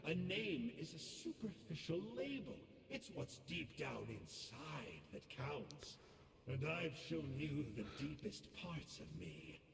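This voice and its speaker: A smug, playful villain teases the heroes in a high, gravelly voice full of lively swoops. The sound is distant and reverberant, with steady noise behind it. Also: male voice, slow pace, crowd noise, heavily acted. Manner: smooth, teasing, theatrical. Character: sly, smug male scholar turned traitor, playful villain.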